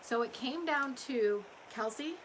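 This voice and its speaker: A woman's voice: sits high, measured pace, fairly bright tone, slight background noise, poor audio. A woman chats casually and ramblingly to customers on a livestream, her voice a little strained from talking. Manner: rambling, chatty. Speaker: small business owner hosting a live update.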